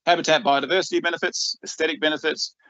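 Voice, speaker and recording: A man's voice: on the high side, fairly even intonation, fast, pushed and bright, no echo, muffled recording. A man with an Australian accent giving a conference talk as a researcher, enthusiastic and full of ums and repeated words. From Australia, rural northeast Victoria.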